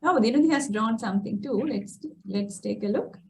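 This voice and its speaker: A woman's voice: fairly high pitch, expressive, normal speed, vocal fry, slight background noise. A woman hosting a children's session on a video call, encouraging and praising as she prompts with questions. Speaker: children's storytelling session host, teacher. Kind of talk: gentle interactive chat with children. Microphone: video call microphone.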